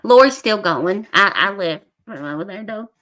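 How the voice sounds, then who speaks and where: A woman's voice: medium pitch, expressive, moderate pace, bright, forceful tone. A woman co-hosting a livestream, chatting casually in a plainspoken, repetitive way. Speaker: livestream co-host. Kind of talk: casual chat.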